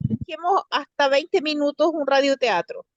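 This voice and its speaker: A woman's voice: high-pitched, moderate pace, no echo. A woman making conversational remarks, recorded with a slightly dull sound.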